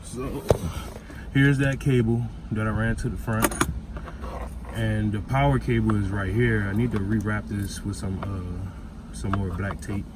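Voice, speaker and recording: A man's voice: on the low side, fairly even intonation, measured pace. An American man with an informal, slangy way of talking, chatting casually as he demonstrates a hands-on job for a vlog. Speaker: vlogger demonstrating a DIY car install. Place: in or around a vehicle. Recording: clear background noise, vehicle ambience.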